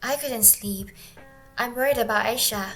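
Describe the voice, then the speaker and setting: A woman playing a worried, sleepless young girl in a radio drama, speaking hesitantly and haltingly. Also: fairly high pitch, sharp tone, soft background music, rough recording. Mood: weary, worried. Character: worried, sleepless young girl fretting over her friend.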